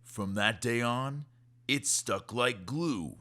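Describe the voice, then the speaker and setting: A man reading a rhyming children's story aloud in an expressive, measured storytelling way. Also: medium pitch. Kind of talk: rhyming scripted narration for kids.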